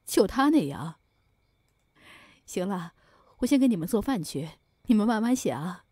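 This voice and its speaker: A woman's voice: mid-range voice, big pitch swings, moderate pace, dark tone, breathy edge, no echo, clean studio sound. A woman acting a middle-aged mother in an audio drama, fussy and motherly, by turns doting and scolding. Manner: fussing, motherly.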